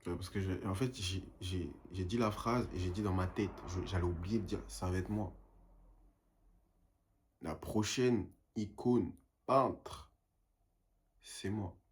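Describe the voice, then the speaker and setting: A man's voice: low-pitched, takes it slowly, pauses often, a little hiss or hum. A young man and painter talking in a casual vlog monologue, rambling and self-motivating, dropping English phrases now and then.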